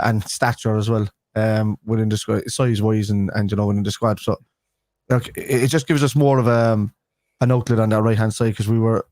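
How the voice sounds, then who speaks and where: A man's voice: medium pitch, darkish tone, dry recording. A man with a Liverpool accent, co-hosting a football fan live stream, talking casually and rambling with restarts a day after having a tooth pulled. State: speaking after a tooth extraction.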